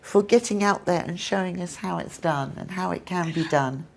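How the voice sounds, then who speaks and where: A woman's voice: lowish voice, normal speed, creaky, darkish tone. A woman co-hosting a live stream, chatty and upbeat as she passes on viewer comments. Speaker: co-host. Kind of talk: live-stream chat with guests.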